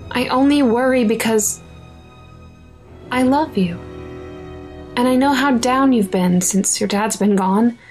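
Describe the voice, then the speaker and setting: A woman's voice: a little high, slow pace, pauses often, a little mellow, faint music behind. An actress playing a protective, fretting mother of a schoolboy in an audio drama, with a nagging, anxious manner. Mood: affectionate.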